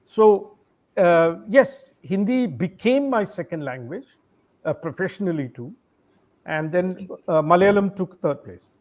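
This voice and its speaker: A man, a guest trainer giving advice in an interview, measured and often pausing with "uh". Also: sits high, expressive, darkish tone, highs cut off. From India. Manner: measured, with frequent "uh" pauses.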